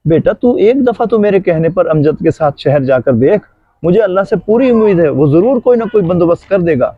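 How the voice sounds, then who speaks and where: A man's voice: sits high, lively intonation. A man acting as a trusting, devout elderly village father in a moral drama, earnest and full of pious words. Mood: hopeful, urging. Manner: earnest, pious, speaks in blessings. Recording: dull lo-fi audio, roomy echo.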